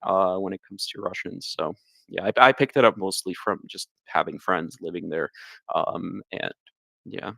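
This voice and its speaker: A low-voiced man from Ukraine chats as a guest, his speech halting and full of fillers and restarts. The sound is dry and close, with no room around it. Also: creaky, fairly bright tone, no room sound. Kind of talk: casual chat.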